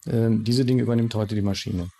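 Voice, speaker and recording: A man in an explanatory interview, speaking formally and technically as a police expert, with halting phrasing. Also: on the low side, steady tone, gravelly, mellow. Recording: faint background noise.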